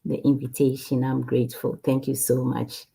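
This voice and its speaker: A woman from Ghana, a guest on an online interview, speaking in a relaxed way, often laughing and repeating herself. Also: extremely low pitch, moderate pace, vocal fry, rough recording. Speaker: guest, cardiac sonographer. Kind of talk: casual interview.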